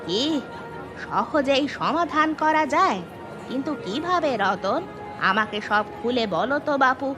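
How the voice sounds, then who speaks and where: A woman acting as an elderly village matriarch in a cartoon tale, speaking slowly over prominent music. She is folksy and plain-spoken, a troubled but shrewd and firm mother-in-law who instructs her household. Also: high voice, expressive. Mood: curious, pleased. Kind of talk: scripted cartoon story acting.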